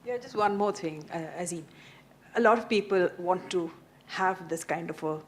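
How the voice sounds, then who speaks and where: A woman's voice: lowish voice, normal speed, slight background noise. A woman moderating a festival panel, asking questions in a thoughtful, probing way with some hesitation.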